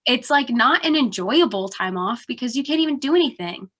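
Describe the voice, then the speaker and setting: A woman's voice: on the high side, expressive, a little fast, a bit bright. A young woman from New Jersey, sounding congested, telling a story in a casual podcast chat with lots of "like". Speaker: podcast co-host.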